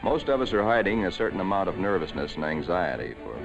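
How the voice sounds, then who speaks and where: A man giving scripted narration for a 1940s wartime documentary, in a measured, solemn way, on an old film soundtrack. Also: deep voice, sing-song, creaky, muffled recording, music in the background.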